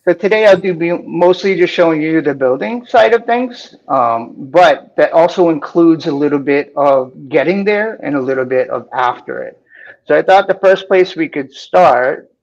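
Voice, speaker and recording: A man from Boston with a faint Boston accent, talking casually and with enthusiasm about software development, with some fillers. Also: a little high, moderate pace, highs cut off. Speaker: guest developer. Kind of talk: technical talk-show chat.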